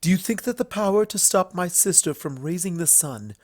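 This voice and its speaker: A man voicing a solemn, guilt-burdened pony princess in a storybook reading, speaking formally and gravely in measured phrases. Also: high-pitched, animated, no room sound, clean studio sound, voice pushed up. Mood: grave, intense. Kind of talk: fan fiction storybook reading.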